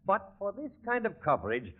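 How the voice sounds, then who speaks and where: A man's voice: high voice, wildly swooping pitch, brisk, exaggerated voice. An actor playing an affable, long-winded, evasive insurance salesman in a 1940s radio comedy. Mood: smooth, affable. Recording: thin, tinny 1940s radio broadcast recording.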